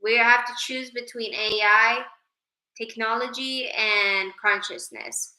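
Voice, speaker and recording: Slow, bright-voiced woman chatting on a livestream. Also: on the high side, lively intonation, echoey room, low-quality recording. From Sweden. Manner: chatty, reads viewer names aloud, drifts into spiritual musing. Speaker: livestream host. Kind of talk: casual livestream chat reading comments.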